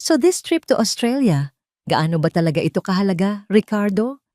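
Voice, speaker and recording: A woman's voice: mid-range voice, big pitch swings, normal speed, a little mellow, no room sound, studio quality, natural voice. A woman voicing a haughty, disapproving older mother-in-law of a wealthy family, imperious and probing in an audio drama.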